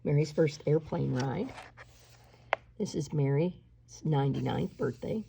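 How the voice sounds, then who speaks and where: A woman reading a children's picture book aloud in an expressive, emphatic storytelling style, slowing on key words. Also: sits low, creaky, noisy background. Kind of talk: expressive read-aloud storytelling with spoken asides.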